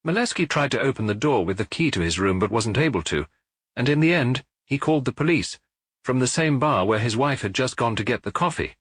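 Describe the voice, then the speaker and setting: A man reading an audiobook, voicing a middle-aged male police officer who gives his report in a measured, matter-of-fact way. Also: mid-range voice, lively intonation, husky, no echo, studio quality. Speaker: audiobook reader.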